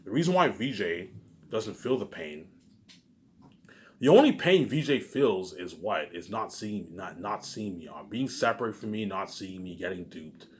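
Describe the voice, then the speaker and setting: Casting: male vlogger, low voice, loose repetitive monologue with emphatic bursts and muttered endings, some background noise. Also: animated, unhurried. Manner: frequent swearing. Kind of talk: rambling casual monologue. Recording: clearly noisy background.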